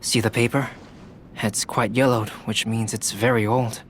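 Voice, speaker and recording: A man's voice: on the low side, little pitch movement, normal speed, a bit bright, a little hiss or hum, lightly acted. A man playing a composed, analytical investigator in a video game, speaking formally and matter-of-factly. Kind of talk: video game dialogue acting.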